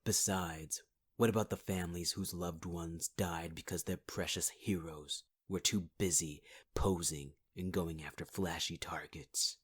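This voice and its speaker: Guy doing a menacing fire villain, husky and deep, whispers close and dry. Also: fairly even intonation, a little slow, no room sound. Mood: scornful. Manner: low, taunting, half-whispered. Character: scarred male villain, menacing, possessive fire-wielder. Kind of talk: ASMR audio roleplay.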